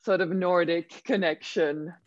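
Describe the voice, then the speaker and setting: A woman's voice: lowish voice, steady tone, unhurried, highs cut off, noisy background. A young woman from Finland whose first language is Swedish, a model chatting as an interview guest and telling stories with lots of "like" and "um". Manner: chatty, storytelling, frequent "like" and "um". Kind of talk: casual interview chat.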